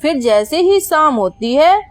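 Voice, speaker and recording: Slow, high-pitched woman narrating a children's story. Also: lively intonation, dry recording.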